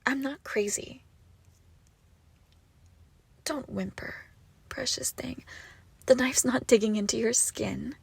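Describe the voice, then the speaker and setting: A woman performs an icy, obsessive crime boss clinging to a former lover in an intimate audio drama. Her delivery is slow and deliberate, with gravelly fry in the voice and a menace that swings between hushed and unhinged. The sound is dry and close. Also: on the high side, dry recording, exaggerated voice. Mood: quiet menace.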